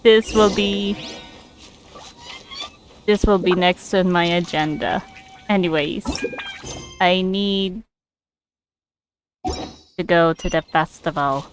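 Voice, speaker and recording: Slow-paced woman streaming a game. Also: on the low side, over music. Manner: playful, reacts aloud. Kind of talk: casual playthrough commentary.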